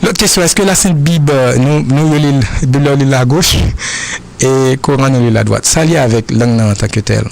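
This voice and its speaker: A man's voice: medium pitch, vocal fry, a little mellow. Earnest Haitian man answering questions. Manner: earnest, repetitive, halting phrasing.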